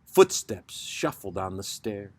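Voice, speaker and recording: A man giving a dramatic poetry recitation, reading in a deliberate, theatrical manner. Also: lowish voice, sing-song. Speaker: poetry reader, host.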